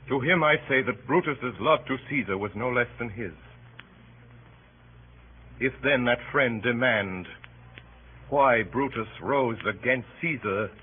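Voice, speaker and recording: An actor playing a solemn, honorable Roman senator giving measured classical oratory in a radio drama. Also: male voice, mid-range voice, slightly rough. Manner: deliberate, measured rhetoric. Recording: tinny old radio recording, faint noise.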